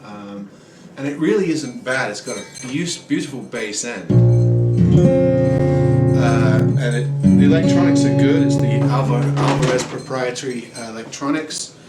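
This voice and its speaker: A man in his forties giving a relaxed home guitar review, rambling with many ums and uhs. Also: mid-range voice, a little slow. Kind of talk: casual product review. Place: small room at home, indoors. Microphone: camera mic at a distance. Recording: roomy, distant camera audio with noise. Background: acoustic guitar playing.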